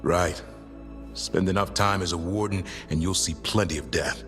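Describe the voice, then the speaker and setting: Stern weary warrior, very deep, fantasy game drama, long reverb, faint music. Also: male voice, fairly even intonation, normal speed, fairly bright tone. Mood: grim, resolute. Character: stern, weary male warrior of a monster-fighting order. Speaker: video game character actor.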